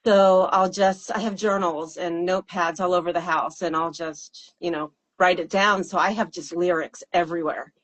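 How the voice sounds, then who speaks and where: Low-voiced woman musician answering casually. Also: fairly even intonation, normal speed, hardly any pauses, a bit bright, low-quality recording. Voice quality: fairly low voice. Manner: thoughtful, hesitant with fillers and laughs. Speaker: singer-songwriter guest.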